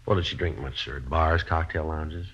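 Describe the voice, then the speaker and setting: A man playing a businesslike, polite police detective in a radio crime drama, speaking and narrating in a clipped, deadpan, matter-of-fact way. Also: rumbling low, normal speed, husky, full character voice. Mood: probing, polite. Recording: muffled old-time radio recording.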